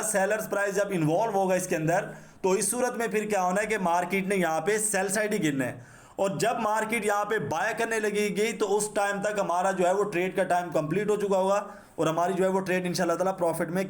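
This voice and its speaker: A man with a Pakistani accent and a sore throat, teaching in an unscripted, repetitive screen-share tutorial style and mixing in English terms. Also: high voice. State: sore throat, clearing it now and then. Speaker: trading instructor.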